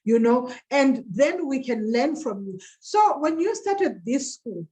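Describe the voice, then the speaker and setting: A high-pitched woman hosts a casual online interview. She is upbeat and exclamatory, and speaks to her listeners and guest as familiar friends. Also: expressive, slow pace, darkish tone. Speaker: host of an online show interviewing African women.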